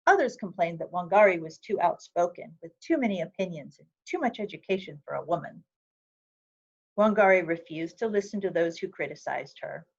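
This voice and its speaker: A woman reading a picture book aloud for children, with measured, expressive storytelling phrasing. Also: lowish voice, vocal fry, highs cut off. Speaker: volunteer reader of a children's book.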